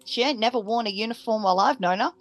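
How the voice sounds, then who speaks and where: A woman's voice: a little high, fast talker, no room sound. A woman playing a tabletop role-playing game, chatting and bantering casually. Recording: music behind the voice.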